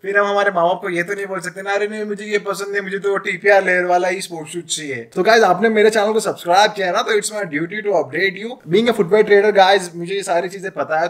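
A man in his thirties with an Indian accent giving casual, chatty advice in a vlog, explaining things and mixing in English phrases. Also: high-pitched. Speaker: footwear dealer and vlogger. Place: small indoor room. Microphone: camera at arm's length.

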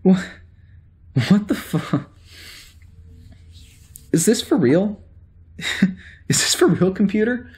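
Man acting a hesitant, jittery spaceship officer, swinging pitch. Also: lively intonation, a little mellow. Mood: incredulous. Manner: hesitant, halting, muttering to himself. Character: anxious, confused amnesiac spaceship security officer recording a log. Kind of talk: science-fiction audio drama acting.

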